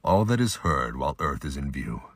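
A man's voice: extremely low pitch, big pitch swings, normal speed, noisy background. A man delivering scripted, emphatic narration for an action audio drama.

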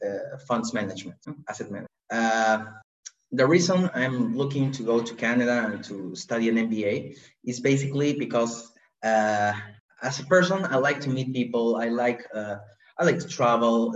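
A man in his thirties from Peru, a podcast guest talking casually about himself with thoughtful pauses and frequent fillers. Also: medium pitch. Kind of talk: casual conversation, introducing himself. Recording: roomy, dull lo-fi remote recording.

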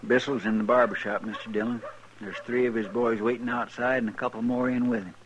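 A man's voice: medium pitch, level tone, brisk, lo-fi sound, natural voice. Man playing an anxious, folksy western deputy. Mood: cautious. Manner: folksy, drawling, deferential. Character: anxious, loyal frontier deputy, deferential sidekick. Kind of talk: 1950s radio western acting. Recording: noisy vintage radio recording.

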